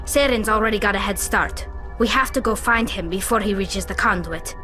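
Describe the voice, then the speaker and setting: A woman's voice: medium pitch, normal speed, sharp tone, close to an everyday voice. An actress voicing a young alien female scientist, thoughtful and explanatory. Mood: earnest. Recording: game audio with strong music.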